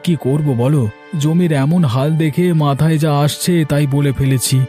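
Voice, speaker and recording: A man's voice: fairly high pitch, fairly even intonation, normal speed, warm tone, soft background music, lightly acted. A man voicing a poor, worried village fisherman and farmer in a cartoon story, speaking in a plaintive, lamenting way. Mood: lamenting, anxious.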